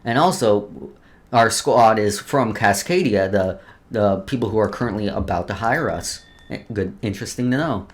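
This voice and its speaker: A man's voice: lowish voice, expressive, normal speed. A man hosting a let's play, reading game lore aloud with casual asides and often stumbling over words before restarting.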